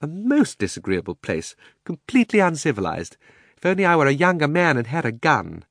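Man acting an old magician, pompous, sly, fussy, higher-pitched, lively swoops, storybook style. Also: brisk, creaky, dry recording, studio quality, full character voice. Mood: fussy, indignant. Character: cunning, cowardly old gentleman magician.

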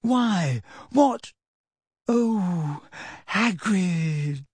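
A man's voice: high-pitched, expressive, a little slow, breathy edge, no echo, voice pushed up, full character voice. A man voicing an anxious teenage girl in an audiobook, emotional, urgent and incredulous. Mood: distraught. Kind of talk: audiobook character voice.

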